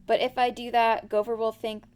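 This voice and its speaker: A woman voicing a gentle, earnest, honey-loving storybook bear who frets mildly. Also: fairly high pitch, level tone, moderate pace, clean tone, natural voice. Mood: glum, earnest.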